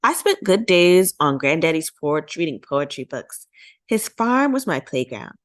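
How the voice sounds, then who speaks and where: A woman's voice: low-pitched, sing-song, a little slow, dry recording, studio quality. A woman voicing an elderly, hopeful great-grandmother telling her story in a children's storybook, with emphatic, lyrical phrasing. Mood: reminiscing. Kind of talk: children's storybook character reading.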